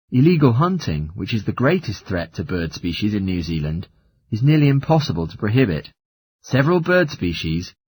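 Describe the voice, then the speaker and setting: A man reading a scripted academic lecture in a formal, measured manner. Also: mid-range voice, breathy edge. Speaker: lecturer in a listening test recording.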